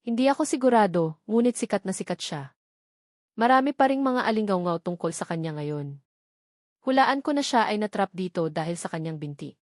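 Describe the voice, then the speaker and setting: A woman's voice: mid-range voice, expressive, moderate pace, dry recording, natural voice. Devoted young female disciple for an audiobook, voiced by a woman narrator, respectful, eager, dry recording. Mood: unsure.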